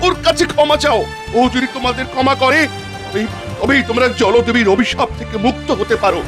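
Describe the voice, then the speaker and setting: A fair-minded young husband from a cartoon scolds his family in a firm, fairly high voice. Music runs clearly behind him. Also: brisk. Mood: stern. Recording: cartoon dub over music.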